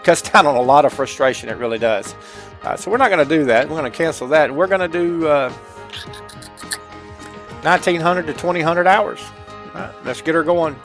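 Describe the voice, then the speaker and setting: A middle-aged man hosts a casual game walkthrough in a somewhat higher-set voice. He explains things step by step in an informal, folksy way, speaking into a headset. Faint music plays behind him. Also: a little fast, pauses often, fairly bright tone. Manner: folksy, informal, addressing viewers as guys. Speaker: gameplay tutorial host.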